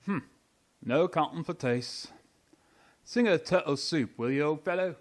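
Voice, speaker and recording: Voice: medium pitch, measured pace, natural voice. An actor playing a brusque, bossy, impatient gryphon creature in a radio play, blunt and commanding in manner.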